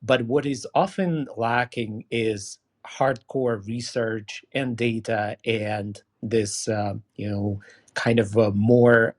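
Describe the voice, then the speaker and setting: A man whose first language is Ukrainian, co-hosting a podcast and asking effusive, rambling questions. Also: mid-range voice. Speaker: podcast co-host. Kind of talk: interview questions.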